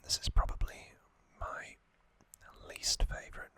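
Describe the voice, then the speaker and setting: An ASMR host whispering casual trivia, hushed and unvoiced, musing with frequent ums. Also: unhurried.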